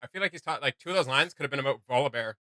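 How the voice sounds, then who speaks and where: A man hosting a reaction video, chatting casually in an animated, rambling, speculative way. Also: medium pitch, racing, no echo. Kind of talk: casual chat, reacting to game voice lines.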